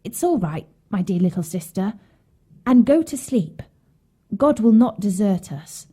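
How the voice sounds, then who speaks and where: A clever young storybook boy played by a woman, gentle and reassuring as a big brother. The voice is soft-edged, husky and unhurried. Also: mid-range voice, very animated.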